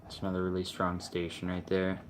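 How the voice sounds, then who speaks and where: A man giving a casual, unscripted demonstration, halting and offhand as he thinks aloud. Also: deep voice, monotone, background noise. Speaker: video creator demonstrating a vintage radio.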